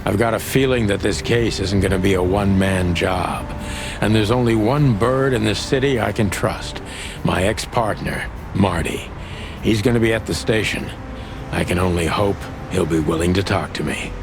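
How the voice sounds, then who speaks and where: A man playing a world-weary ageing rooster detective, wry and deadpan, narrating a noir game. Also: fairly low pitch, normal speed, noisy background, close to an everyday voice. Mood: weary, brooding. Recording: music behind the voice. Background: music.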